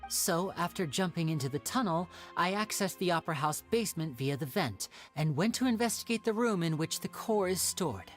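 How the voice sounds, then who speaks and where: An actor playing a polite, earnest young male stage magician in an anime game, measured and articulate. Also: a little high, expressive, soft background music, natural voice. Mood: explaining. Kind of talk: anime game dialogue acting.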